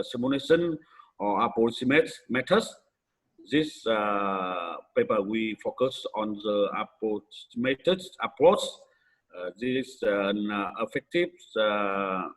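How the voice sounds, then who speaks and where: A man from Vietnam with a Vietnamese accent gives an academic conference presentation in halting, hesitant English with frequent pauses. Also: mid-range voice, slightly rough, poor audio.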